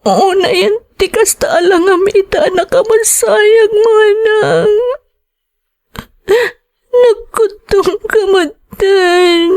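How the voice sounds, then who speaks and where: A woman's voice: very high voice, a little mellow, full character voice. A woman acting an anguished young waitress daughter in an Ilocano drama, with an Ilocano accent and dramatic delivery. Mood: grieving, sobbing. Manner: slow, dramatic delivery with tearful outbursts. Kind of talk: Ilocano radio-style drama acting and narration.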